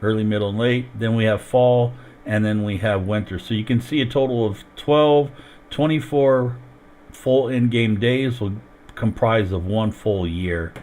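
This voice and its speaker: A man's voice: lowish voice, a little slow, darkish tone. A man streaming a farming game while sick and losing his voice, explaining each step as he plays into a headset. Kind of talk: casual gameplay commentary.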